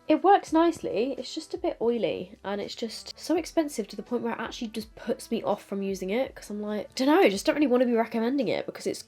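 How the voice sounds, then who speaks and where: A woman's voice: a little high, a little mellow. A young woman vlogging about her makeup in a casual, frank and self-deprecating way, sounding a little stuffy from a cold. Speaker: beauty vlogger. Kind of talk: casual makeup chat to camera.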